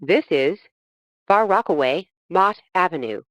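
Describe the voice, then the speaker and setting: A woman reading scripted automated subway announcements in a formal, measured, phrase-by-phrase manner. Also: on the low side, animated, muffled recording.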